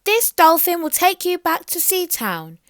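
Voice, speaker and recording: A woman's voice: extremely high pitch, big pitch swings, measured pace, a bit bright, dry recording, studio quality, pitched above the performer's own voice, heavily acted. A woman voicing a dutiful mermaid servant from a storybook, giving firm instructions. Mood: warning.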